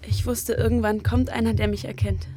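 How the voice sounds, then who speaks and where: An actress playing a young student who works secretly as an escort, direct and streetwise, in a German radio drama. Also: female voice, on the high side, level tone, a little fast, music in the background, close to an everyday voice. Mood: embarrassed, pleading. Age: young adult.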